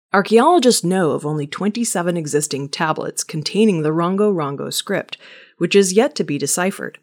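A woman reading a scripted weekly news bulletin in a measured, steady manner. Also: on the low side. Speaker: news reader.

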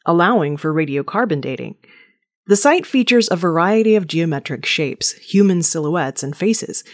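A woman reading scripted news stories as a narrator. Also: lowish voice. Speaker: news reader.

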